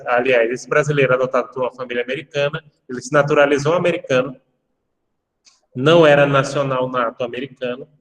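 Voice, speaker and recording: A male professor with a Brazilian accent lecturing his class, explaining at length and often checking in with tag questions. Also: fairly high pitch. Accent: Brazilian Portuguese. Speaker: university law professor. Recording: echoey hall-like sound, distant from mic.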